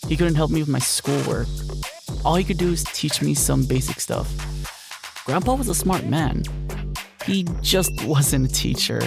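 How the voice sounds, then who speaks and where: A man playing a grieving country man who fondly and reflectively remembers his late grandfather, with dramatic pauses, in an audio drama. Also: fairly high pitch, lively intonation, gravelly. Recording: loud music behind the voice. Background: music.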